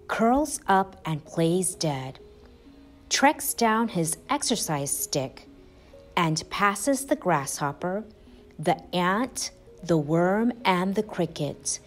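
Female storyteller, lowish voice, unhurried and lively in pitch, children's read-aloud over soft music. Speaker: storyteller and channel host.

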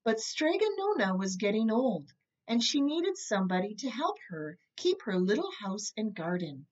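A middle-aged woman reading a children's story aloud in a measured, expressive way through a computer microphone indoors. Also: medium pitch, muffled recording. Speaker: storybook reader. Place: indoor room with bookshelves.

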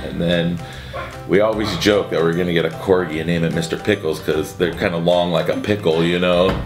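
A man in his forties with a very low voice answers interview questions from his seat by a window. He talks haltingly, restarting his sentences and filling gaps with uhs. Music plays clearly beneath him. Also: steady tone, roomy sound. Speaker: event co-founder. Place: indoor room by a window, seated. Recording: music bed underneath.

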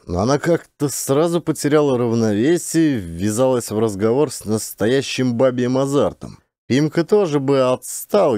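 A man's voice: medium pitch, warm tone, dry recording, clean studio sound. A man narrating a literary audiobook in Russian, expressively, as a trained actor would.

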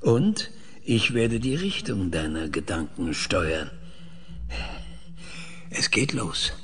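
A man playing a methodical, probing researcher in a science fiction audio drama, questioning in a measured, clinical way. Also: fairly low pitch, big pitch swings.

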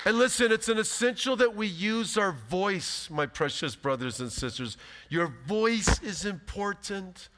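A pastor in his fifties giving an animated, emphatic sermon from a church stage. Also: high-pitched, measured pace. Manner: animated, emphatic preaching with big gestures. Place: large church stage, indoors. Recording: long hall reverb.

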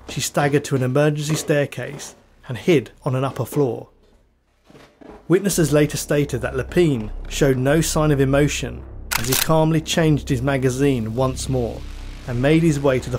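A man hosting a true crime podcast, reading scripted narration in a measured, dramatic storytelling manner. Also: mid-range voice. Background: background music.

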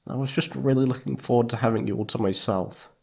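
A man playing a needy, affectionate young boyfriend in an intimate ASMR roleplay, speaking in a soft, pleading, hesitant way. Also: mid-range voice, fast talker, gravelly, breathy edge. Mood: shy, lonely. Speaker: audio roleplay voice actor.

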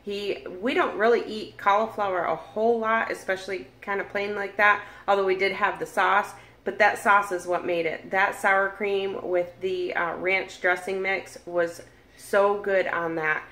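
A woman's voice: mid-range voice, steady tone, a little slow, pushed and bright. A woman in her forties chatting to viewers as she cooks and tastes a meal in her home kitchen, filmed on a camera held at arm's length. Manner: chatty, talks to viewers directly. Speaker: cooking vlogger. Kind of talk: casual cooking walkthrough. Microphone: camera mic at arm's length. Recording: echoey kitchen with sizzling.

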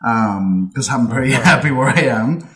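A man's voice: medium pitch, normal speed. Chatty Puerto Rican man on a headset. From Puerto Rico. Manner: chatty, animated with hand gestures, laughs often. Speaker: insurance agency owner, guest. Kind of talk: casual interview storytelling. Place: home office. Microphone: headset with boom mic on video call.

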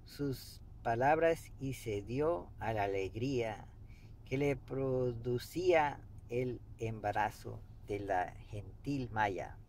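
A man in his fifties reading a book aloud meditatively in a halting way, pausing mid-sentence, recorded inside a car. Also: medium pitch, poor audio. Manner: halting, pauses mid-sentence, clears throat. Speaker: amateur reader of a book. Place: inside a parked car by a street. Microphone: camera an arm's length or so away. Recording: steady background noise inside a car.

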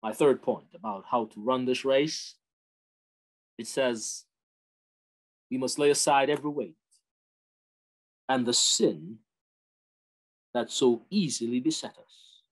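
A man's voice: medium pitch, slow, long pauses, poor audio. A man in his fifties preaching a sermon with emphatic, rhetorical delivery, repeating and stressing key words. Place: small office with bookshelves, indoors. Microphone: webcam-style desktop setup at arm's length.

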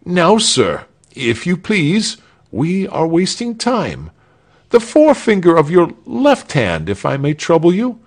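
Storybook executioner: a man as a polite old gentleman, high, fussy, kindly and animated. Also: takes it slowly, heavily acted. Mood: politely insistent. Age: older. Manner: fussy, mild, courteous.

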